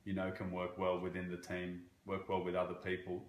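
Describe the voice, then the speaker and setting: A man from Croatia working as a banking relationship manager, answering interview questions in a halting way with many fillers. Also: low voice, monotone, echoey room, a little hiss or hum. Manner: halting, with frequent fillers and restarts.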